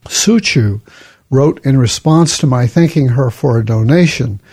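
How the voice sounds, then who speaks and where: A man hosting his own podcast, talking in a relaxed, conversational way and reading listener emails aloud. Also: mid-range voice, unhurried, husky. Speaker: podcast host. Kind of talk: casual podcast talk.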